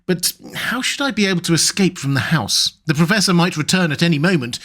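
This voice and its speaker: A man reading a classic adventure novel aloud, his voice a touch higher than most men's. He keeps a measured, even pace through the narration. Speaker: audiobook narrator.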